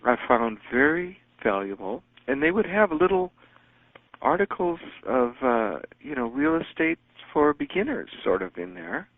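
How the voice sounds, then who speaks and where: A man's voice: fairly high pitch, very animated, no echo. Man talking over a phone line. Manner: rambling, halting with many fillers. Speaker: teleconference host answering callers. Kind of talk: casual phone chat.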